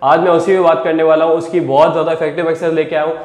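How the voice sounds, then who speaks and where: A man's voice: on the high side, flat delivery, racing, darkish tone. A young man, a fitness trainer talking earnestly on a lapel mic and repeating his points for emphasis. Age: young adult. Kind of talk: home workout vlog. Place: small bare room indoors. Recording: echoey bare room.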